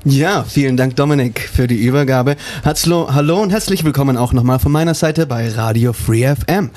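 A man's voice: mid-range voice, a little fast, a little mellow. A man from an improv theater group talking on a radio show and co-hosting it, with many hesitant fillers. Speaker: improv actor and co-host. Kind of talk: radio interview. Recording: radio studio.

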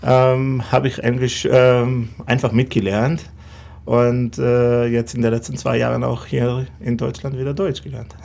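A man in his thirties with an Israeli accent answers interview questions in careful, non-native German. His voice has a gravelly, creaky edge, and he sits at an ordinary middle pitch. He pauses often to search for words. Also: level tone, darkish tone. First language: Hebrew. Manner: halting, many fillers, non-native phrasing. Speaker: rabbi.

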